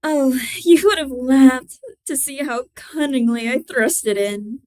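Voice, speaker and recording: A woman reading a gothic horror tale as its mad, cunning, obsessive murderer, deliberate and theatrical, moving between hushed and emphatic delivery with a smooth voice. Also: high-pitched. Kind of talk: dramatic horror story reading.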